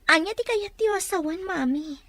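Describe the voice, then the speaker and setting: A sincere, dutiful young Ilocano Filipino student acted by a woman with a high-pitched voice. It is radio drama acting, recorded close and dry. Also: moderate pace, fairly bright tone, dry recording. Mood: pleading.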